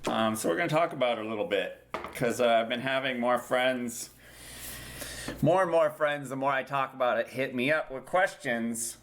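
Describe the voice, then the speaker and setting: A man's voice: medium pitch, normal speed, fairly bright tone, a little hiss or hum. Man in his 30s, podcast cohost, rambly, lots of ums. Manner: rambling, frequent ums and restarts. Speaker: podcast co-host. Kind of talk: casual chat.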